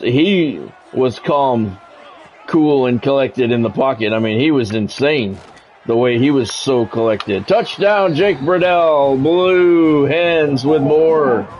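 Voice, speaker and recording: A man's voice: mid-range voice, darkish tone, lo-fi sound, a little hiss or hum. A man doing casual sports game commentary, drifting between announcer-style play calls and conversational musing.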